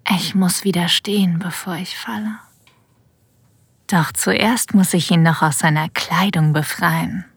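Woman playing a breathy, whispering, sensual female boss. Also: on the low side, no room sound, exaggerated voice. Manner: slow, intimate, deliberate. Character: female boss having a secret affair, sensual and confident. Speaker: narrator and lead in an erotic audio drama. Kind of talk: ASMR-like scripted first-person narration. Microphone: close, dry studio mic.

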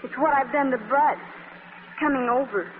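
A lovestruck teenage girl, voiced by a woman, high and wistful, her words quavering and near tears. The sound is a tinny old radio broadcast with faint hiss. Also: normal speed, natural voice. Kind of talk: 1940s radio acting.

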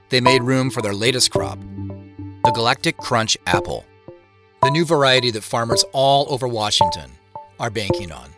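An actor playing an introspective, guarded man who narrates his homecoming in the first person, measured and confiding, in an audio drama. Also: on the low side. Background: music under the narration.

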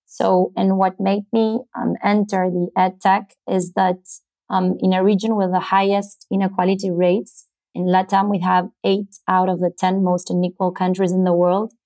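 A woman's voice: medium pitch, flat delivery, moderate pace, few pauses, dark tone. A woman from Argentina with an Argentine accent, a native Spanish speaker, explaining her work as an interview guest and sometimes searching for words. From Buenos Aires, Argentina. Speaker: interview guest, startup co-founder.

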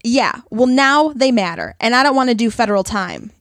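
A woman's voice: fairly high pitch, lively intonation, normal speed, fairly bright tone, no room sound, studio quality. An actress playing a shrewd, cynical woman con artist who is wry and matter-of-fact, in an audio play. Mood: wry, businesslike.

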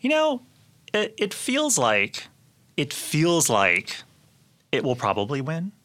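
A man's voice: on the high side, wildly swooping pitch, takes it slowly, sharp tone. A man co-hosting a podcast in a casual, explanatory way, often hedging as he talks. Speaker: podcast co-host.

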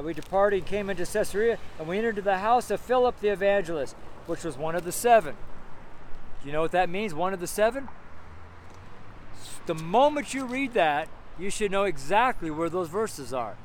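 A man's voice: sits high, animated, moderate pace. A man in his seventies giving an informal, rambling street sermon in a strong, carrying voice, exclaiming and repeating phrases for emphasis. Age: 70s. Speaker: street preacher. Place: outdoors on a city street among buildings. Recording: outdoor street noise, traffic ambience.

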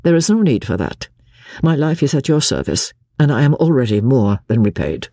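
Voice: mid-range voice, very animated, moderate pace, warm tone, dry recording, clean studio sound. A reader voicing a cold, aloof aristocratic husband who speaks in a formal, drawling manner. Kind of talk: audiobook character voice.

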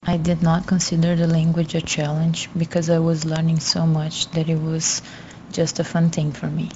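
Young woman reading her interview answers, low-ish voice, pretty monotone, muffled recording. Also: normal speed. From Brazil. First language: Portuguese. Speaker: guest student. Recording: dull lo-fi audio, faint noise.

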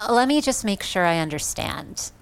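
A man's voice: sits high, big pitch swings, normal speed, gravelly, smooth, voice pushed up, exaggerated voice. A man voicing a shaken young woman. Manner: tremulous, earnest. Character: young woman, shocked, sympathetic listener.

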